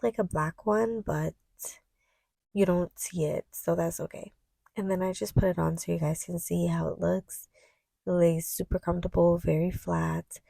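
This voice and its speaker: A woman's voice: on the low side, gravelly, warm tone, dry recording, studio quality. A woman beauty vlogger with a slightly stuffy voice, chatting casually and gushingly to viewers about a product. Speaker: beauty vlogger reviewing wigs. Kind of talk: casual chat to viewers, product unboxing voice-over.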